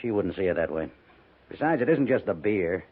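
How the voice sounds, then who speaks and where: A resigned American major in a 1950s radio western. His lowish, husky voice is measured and a bit defensive, heard through a thin old broadcast recording. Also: big pitch swings, exaggerated voice. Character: weary, resigned army major, post commander. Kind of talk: 1950s radio drama acting. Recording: tinny, narrow-band old radio recording.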